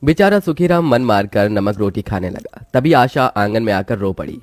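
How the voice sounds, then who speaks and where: Low-voiced man narrating a moral story. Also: lively intonation, normal speed, dry recording. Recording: music behind the voice.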